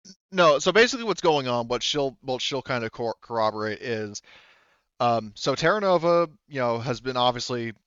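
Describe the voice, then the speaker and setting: A man with a smooth, resonant voice narrates a tabletop game as game master. He explains at length, circling back and rephrasing as he goes. The sound is close and dry but a little dull. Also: medium pitch, animated, normal speed, a bit bright, no echo. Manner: rambling, explanatory, often rephrasing.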